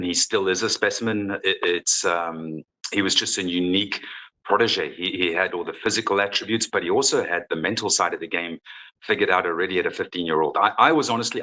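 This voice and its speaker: Deep, bright-voiced middle-aged man, earnest. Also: low-quality recording. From South Africa. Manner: earnest, explanatory. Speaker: football coach, interview guest. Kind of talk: conversational interview.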